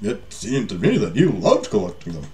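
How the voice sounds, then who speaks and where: A man's voice: fairly low pitch, sing-song, moderate pace, mellow, voice pushed down. A man voicing an ingratiating storybook merchant with a flowery, salesmanlike manner. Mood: eager, flattering. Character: well-traveled, ingratiating male merchant of rare treasures. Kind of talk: storybook character reading.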